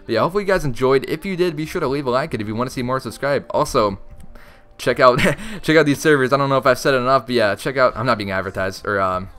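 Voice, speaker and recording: A young man giving casual, excitable commentary over his own gameplay, talking straight to his viewers, his voice strained from shouting. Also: mid-range voice, quick pace, soft background music. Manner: chatty, excitable, talks to viewers. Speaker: gaming YouTuber.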